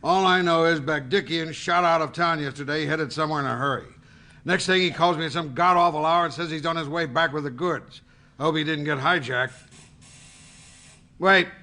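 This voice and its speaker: An actor playing a gruff, impatient newspaper editor who talks brusquely and swears, in a naturalistic audio drama. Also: male voice, a little high, normal speed, bright, forceful tone. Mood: excited, brusque.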